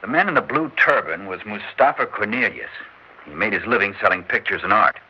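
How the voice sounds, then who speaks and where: An actor playing a junior agent with offhand, wisecracking replies, in a 1960s radio drama. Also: male voice, lowish voice, expressive, a little fast, close to an everyday voice. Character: loyal junior agent, quick and casual. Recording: hissy, muffled old radio broadcast.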